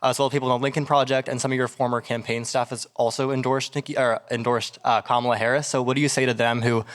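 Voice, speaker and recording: A man's voice: medium pitch, little pitch movement, fast talker. A young man from Pennsylvania asking a question from the audience at a public event. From Pennsylvania, United States.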